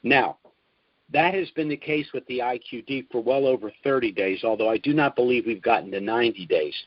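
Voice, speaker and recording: An older American man hosting a conference call as its spokesman, giving an informal business briefing in a measured way with emphasis on key points. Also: medium pitch, no echo. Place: at home, recorded over a conference call. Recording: dull, dry phone conference audio.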